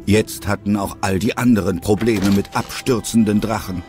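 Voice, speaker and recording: A man's voice: on the low side, steady tone, moderate pace, darkish tone, soft background music. A man narrating a scripted audio drama as its storyteller.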